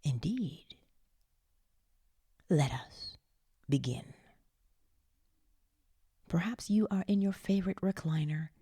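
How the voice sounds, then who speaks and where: Slow, breathy woman guiding meditation. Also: lowish voice, expressive, no echo, clean studio sound. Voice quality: breathy, whispery. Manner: hushed, soothing, gently coaxing with pauses. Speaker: meditation guide. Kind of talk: slow guided meditation narration, partly whispered.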